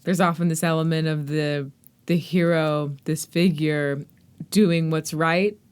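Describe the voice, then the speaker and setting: A woman's voice: deep voice, steady tone, a little slow, smooth. A woman hosting a podcast interview in a conversational, articulate and reflective way.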